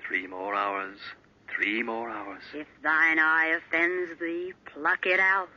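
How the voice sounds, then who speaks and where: Casting: haunting voice of obsession, very sharp, drawn-out chanting, vintage radio horror. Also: male voice, fairly high pitch, heavily acted. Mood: ominous, goading. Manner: slow, chanting repetition. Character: eerie, obsessive inner voice goading a man. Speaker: radio drama actor. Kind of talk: 1940s radio acting. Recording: dull vintage 1940s radio recording.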